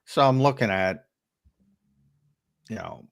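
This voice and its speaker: A man's voice: lowish voice, animated, slow pace, creaky, no room sound, clean studio sound. A man talking as a guest analyst on a sports show, hesitant with lots of fillers, over a remote call. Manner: hesitant, many fillers and restarts. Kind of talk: casual sports talk.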